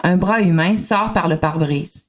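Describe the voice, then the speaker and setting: A woman's voice: fairly low pitch, moderate pace. A woman reading scripted narration for a dramatized story. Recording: music under the voice. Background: music.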